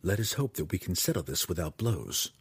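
A man plays a courteous dark elf warrior in a fantasy audiobook. His voice is husky and recorded dry, with a measured, cautious calm. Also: low voice, no room sound, full character voice. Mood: cautious, hushed. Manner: measured, cautious, often hushed.

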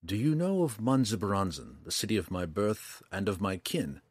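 A man voicing a guarded, solemn male dark elf in a fantasy audiobook, speaking softly and gravely. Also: lowish voice, very animated, no echo, studio quality. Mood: pained, hesitant. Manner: soft, measured, grave.

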